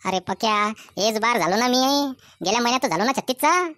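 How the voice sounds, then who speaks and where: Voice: medium pitch, lively intonation, a little fast, sharp tone. A performer voicing a glum, self-pitying village man of thirty-six in a comic cartoon, grumbling in colloquial Varhadi Marathi from Vidarbha. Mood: dejected. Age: 30s. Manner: colloquial, grumbling, rhetorical questions.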